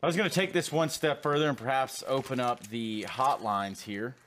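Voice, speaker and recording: Guy calling the esports action, dry teasing jokes, normal pitch, bit of game noise behind. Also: moderate pace, a bit bright. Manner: dry, teasing humor between calls. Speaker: esports play-by-play caster. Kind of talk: play-by-play commentary.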